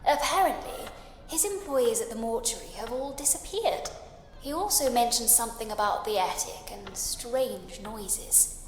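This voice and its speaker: A woman playing a caring, gently worried Victorian wife in a ghost story game, speaking in a proper, affectionate manner. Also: fairly high pitch, lively intonation, unhurried. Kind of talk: video game voice acting. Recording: echoey long reverb with music. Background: music.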